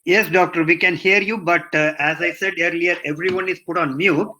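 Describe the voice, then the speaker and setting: A man's voice: high voice, fairly even intonation, fairly quick, bright, forceful tone, lo-fi sound. A man moderating a webinar, answering politely and calling the speaker "doctor".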